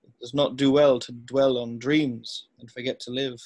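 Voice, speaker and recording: A man playing a wise, gentle old wizard headmaster in a scripted read, speaking in measured, formal phrases. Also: mid-range voice, little pitch movement, close to an everyday voice. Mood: gentle, solemn. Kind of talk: scripted table read acting.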